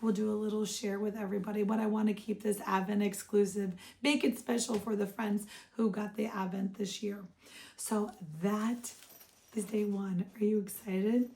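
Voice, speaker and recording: A woman hosting a casual craft vlog, her voice hoarse and froggy, chatting upbeat with viewers she calls friends. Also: mid-range voice, flat delivery, normal speed, dark tone. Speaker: craft shop owner and vlog host. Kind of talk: casual show-and-tell vlog.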